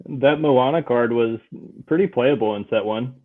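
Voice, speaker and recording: A man's voice: medium pitch, moderate pace. Laid-back man on a tinny line. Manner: laid-back, laughs often. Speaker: guest player. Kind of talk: casual chat.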